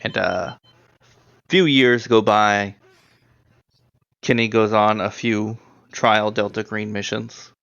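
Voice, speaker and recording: A man narrating a tabletop horror game as game master in a deliberate, plain-spoken way. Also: lowish voice, steady tone, creaky, a bit bright, lo-fi sound, faint music behind.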